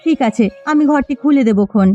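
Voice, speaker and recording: A woman's voice: high voice, brisk, warm tone, lightly acted. A woman voicing a caring, anxious village housewife in a moral-story cartoon. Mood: homely. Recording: dull lo-fi sound with music behind.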